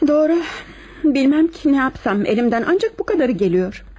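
An actress playing a soft, seductive married woman with a secret lover in a radio play, coquettish and theatrical. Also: sits high, big pitch swings, fairly quick, breathy edge, full character voice. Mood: plaintive. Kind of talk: Turkish radio theatre acting.

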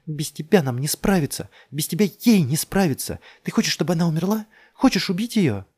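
A desperate woman in an audiobook thriller, acted by a male narrator, urgent and commanding. The voice is pushed well above his own and shouts insistently, with a rough, husky edge. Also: a little high, lively intonation, brisk, pitched above the performer's own voice. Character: desperate, commanding young woman urging others to climb.

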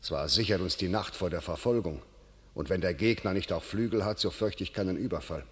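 Stern army commander, commanding verse, long hall reverb. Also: male voice, sits low, brisk, husky. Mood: grave. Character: stern English field commander, sharp-tongued. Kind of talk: classic radio play.

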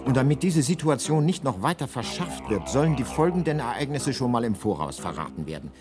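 A mock-solemn storyteller voiced by a man over clear background music. He narrates a science fiction comedy with deadpan wit and a widely moving medium pitch. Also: brisk, close to an everyday voice. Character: wry, solemn male storybook narrator, a galactic guidebook. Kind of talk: scripted comic radio narration.

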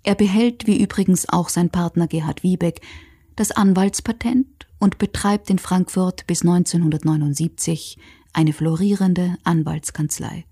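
Dark, creaky-voiced woman narrating soberly. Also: fairly low pitch, steady tone. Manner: sober, factual, measured. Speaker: documentary narrator. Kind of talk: scripted narration of a historical radio feature.

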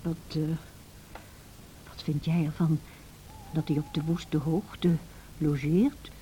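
A woman playing a sensible, motherly housekeeper who recounts events in an old radio play, measured and gently admonishing. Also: deep voice, fairly even intonation, a little hiss or hum, rough recording. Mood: concerned.

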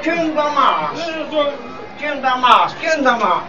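Shrill, brash auctioneer. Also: male voice, very animated, slow pace, exaggerated voice. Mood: hawking. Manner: loud, calling out repeatedly. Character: brash, hawking slave auctioneer at the docks. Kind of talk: community radio drama acting. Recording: distant, dull recording, loud crowd noise.

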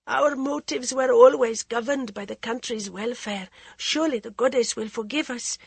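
A proud, desperate queen with a raised, strained voice , put on by a woman narrator. Her formal speech sounds gravelly and husky, recorded dry and close. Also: fairly high pitch, normal speed, no echo, voice pushed up. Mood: pleading. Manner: pleading then defiant, formal speech.

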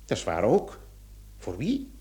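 Excitable, animated police commissioner. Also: male voice, on the low side, unhurried. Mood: pondering, alarmed. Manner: exclamatory, repeats words when thinking. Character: excitable, earnest male police commissioner piecing things together. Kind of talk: Dutch-language radio play acting.

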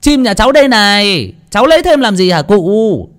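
Guy doing a cheeky village kid, sly and teasing, bouncy voice going up high. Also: sing-song, normal speed, dark tone. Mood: mocking. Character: cheeky clever young peasant lad, mocking.

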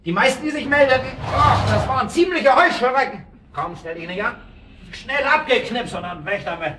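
An actor playing a worldly, condescending club man in a radio drama, rambling on and breaking off to hush his dog. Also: very high-pitched, lively intonation, moderate pace, noisy background. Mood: smug, dismissive. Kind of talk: naturalistic German radio drama acting.

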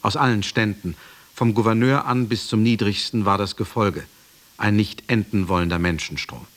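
An actor playing a sober companion who narrates a literary radio play in a grave, measured way. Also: male voice, fairly low pitch, natural voice. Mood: solemn. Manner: measured, grave, hushed at phrase ends. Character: sober male companion recounting a master's death.

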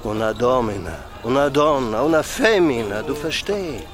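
An actor playing a lewd, coarse medieval German soldier in a radio drama, using rough pidgin speech. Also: male voice, medium pitch, lively intonation, moderate pace, natural voice. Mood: lewd, leering. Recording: busy outdoor crowd scene.

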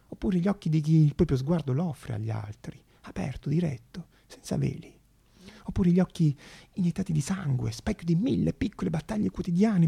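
Casting: male actor-narrator, hushed theatrical storytelling, creaky husky voice, deliberate pauses. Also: on the high side.

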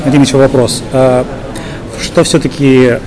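A man's voice: mid-range voice, flat delivery, measured pace, background noise. A man interviewing for a tech podcast, asking questions hesitantly and rephrasing as he goes. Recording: crowd chatter behind the voice. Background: busy conference crowd.

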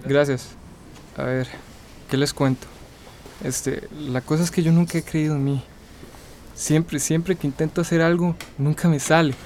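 An actor with a Costa Rican accent playing a remorseful young recovering addict in a radio drama, speaking hesitantly and confessionally. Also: male voice, fairly high pitch, fairly even intonation, a little slow, long pauses, darkish tone, a little hiss or hum, natural voice. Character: remorseful young male recovering addict, self-doubting but resolute. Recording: music under the voice.

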